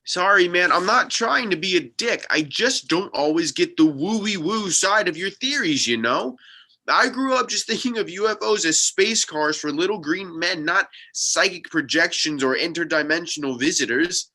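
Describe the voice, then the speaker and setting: A man's voice: high-pitched, expressive, moderate pace, bright, forceful tone, heavily acted. A man playing a skeptical, practical young sidekick in a script read, with a wry, casual way of talking. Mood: apologetic. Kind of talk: table read of a TV pilot script.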